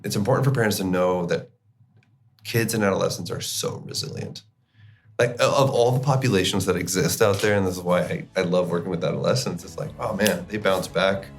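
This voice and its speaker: A man with a very low voice and plenty of fry, talking as an expert guest in an interview. His delivery is conversational and informal, with casual slang mixed into professional explanation. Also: fairly quick. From Chile and the United States. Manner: informal, uses slang like kiddo and buddy. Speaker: clinical psychologist, podcast guest.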